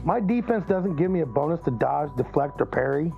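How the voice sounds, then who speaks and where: A man with a creaky, dark voice chats casually as a tabletop player. The sound is dull, with faint music behind him. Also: fairly high pitch, lively intonation, brisk. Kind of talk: casual game chat.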